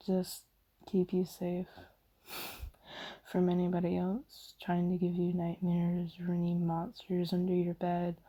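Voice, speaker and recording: A woman voicing a kindly, apologetic demon in a soft, half-whispered, hesitant and reassuring ASMR comfort roleplay. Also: fairly low pitch, breathy edge, full character voice. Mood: apologetic, gentle. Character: kindly apologetic female sleep paralysis demon, gentle comforter.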